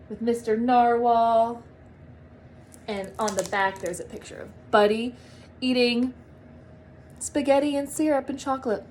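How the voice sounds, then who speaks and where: A woman reading a children's storybook aloud in an expressive, emphatic manner. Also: fairly high pitch, slight background noise. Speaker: storybook reader, teacher.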